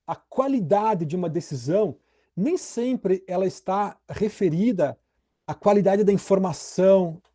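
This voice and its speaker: A middle-aged man lecturing on legal theory. His voice sits high for a man but has a soft, mellow warmth. He talks in a relaxed, chatty way and keeps turning to his students with little tag questions. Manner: conversational, addresses students directly with frequent tag questions. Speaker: law professor.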